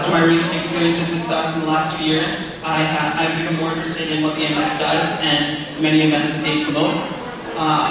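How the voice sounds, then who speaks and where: Young guy, flat tone, lots of uhs, far from mic in a reverby hall. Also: a little high. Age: young adult. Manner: halting, many uhs and restarts. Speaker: student candidate. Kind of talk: campaign speech. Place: lecture hall with audience.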